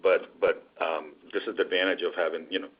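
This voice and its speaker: A male executive speaks on an earnings call in a deep, husky voice. He moves quickly through his semi-formal business explanation. The audio is dull and band-limited. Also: flat delivery.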